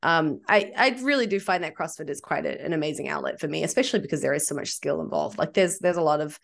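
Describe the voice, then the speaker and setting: A woman in her thirties with a New Zealand accent speaks in a low register. She talks candidly and reflectively, often restarting her sentences. She is recorded on a studio mic from a small room during a video call. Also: fast talker, vocal fry. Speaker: guest, CrossFit coach and athlete. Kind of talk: casual interview talk. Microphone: studio mic with headphones.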